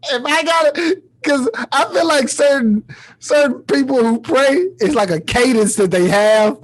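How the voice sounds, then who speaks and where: A man's voice: extremely high pitch, a little slow, low-quality recording. A man hosting a podcast in casual chat, very informal and slangy, swearing freely. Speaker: podcast host.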